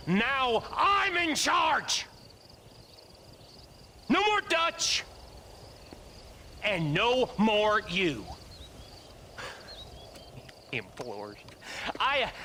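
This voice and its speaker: An actor playing a mocking, theatrical American outlaw gang leader in a Western video game, taunting with scorn. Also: male voice, high voice, sharp tone, slight background noise, exaggerated voice. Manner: taunting, theatrical, mimicking fancy words with scorn.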